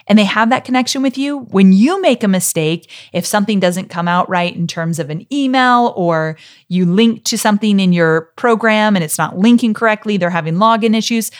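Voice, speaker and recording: A middle-aged woman hosting a solo podcast, talking straight to her listeners in an encouraging, friendly way. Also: mid-range voice. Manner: warm, encouraging, direct address to listeners. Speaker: podcast host. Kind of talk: solo podcast teaching talk.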